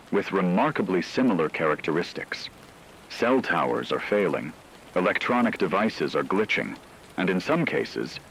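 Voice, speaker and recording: Deep-voiced man acting as a grave radio news anchor. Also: slight background noise, low-quality recording. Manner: serious, measured, formal. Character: grave male radio news anchor reporting a supernatural fog crisis. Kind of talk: scripted emergency radio news broadcast.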